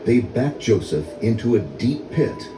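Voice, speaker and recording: An older man reading a children's story in a measured, dramatic storytelling manner. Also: mid-range voice, very animated. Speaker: read-along story narrator. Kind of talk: scripted children's story narration. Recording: roomy echo, music under voice. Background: orchestral music.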